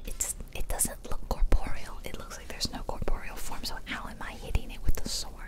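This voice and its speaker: A woman giving playful, chatty ASMR gameplay commentary in a whisper, close to the microphone. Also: brisk. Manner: playful, chatty, self-amused. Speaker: game streamer and ASMR host. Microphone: close ear-to-ear microphone.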